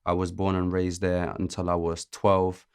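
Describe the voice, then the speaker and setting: Deep-voiced young man from Azerbaijan. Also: completely flat delivery, normal speed, clean tone. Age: 20s. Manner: laid-back, laughs often, hesitant with many ums. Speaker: podcast guest, music producer. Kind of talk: casual interview chat.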